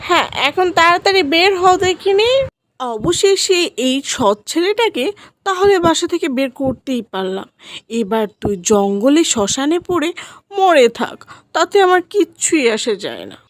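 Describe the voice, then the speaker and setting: A woman's voice: sits high, big pitch swings, moderate pace, no room sound. A woman playing a cruel, scheming stepmother in a cartoon, commanding and wheedling. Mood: coaxing, then gloating, cruel. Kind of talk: cartoon story voice acting.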